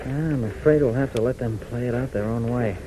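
An American actor playing a brave, level-headed young pilot hero in a 1940s radio drama, plain-spoken and take-charge. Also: male voice, medium pitch, brisk, lightly acted. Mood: concerned, resigned. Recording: noisy 1940s radio recording.

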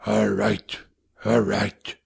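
A man voicing a gruff London father, talking in a hoarse grunt just above a whisper. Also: fairly low pitch, monotone, extremely slow, exaggerated voice. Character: gruff thieving London father, curt and coarse.

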